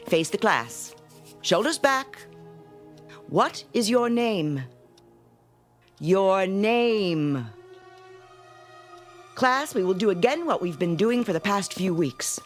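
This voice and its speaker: A woman's voice: medium pitch, lively intonation, slow pace, long pauses, faint music behind. An actress playing a strict schoolteacher who drills her class, in a radio drama. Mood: stern, commanding.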